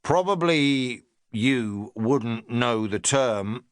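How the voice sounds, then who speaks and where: A man's voice: medium pitch, lively intonation, extremely slow, no echo, clean studio sound. A man voicing a pompous, hectoring police inspector in an audiobook, talking down in jabbing phrases. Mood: lecturing. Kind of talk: audiobook character reading.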